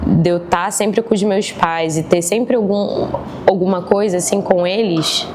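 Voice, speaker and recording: A woman's voice: lowish voice, steady tone, a little slow, darkish tone, slight background noise. Twenties woman from Rio, documentary interviewee, hesitant and reflective. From Rio de Janeiro. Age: 20s.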